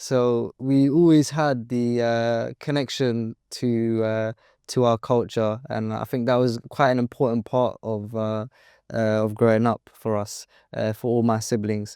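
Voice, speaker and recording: Young British man, flat mellow voice. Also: medium pitch, clear, resonant tone, dry recording, clean studio sound. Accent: British English. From United Kingdom. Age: young adult. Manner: hesitant, many fillers and restarts. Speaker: entrepreneur guest. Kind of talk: interview answers.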